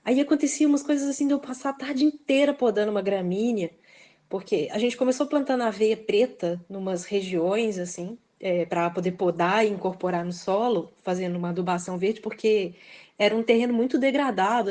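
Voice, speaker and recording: A woman's voice: medium pitch, steady tone, fairly quick, darkish tone. A woman from Belo Horizonte with a Minas Gerais accent, a guest author talking casually and reflectively, with frequent hesitations. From Belo Horizonte, Brazil. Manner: reflective, hesitant, fills with "assim", laughs often. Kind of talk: casual interview conversation.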